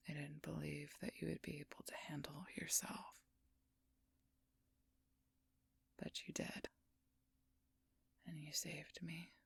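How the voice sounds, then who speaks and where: A woman playing a wounded, protective female werewolf knight in an intimate audio roleplay, speaking in a breathy, husky, gravelly whisper. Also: low-pitched, dry recording, exaggerated voice. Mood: apologetic, sincere.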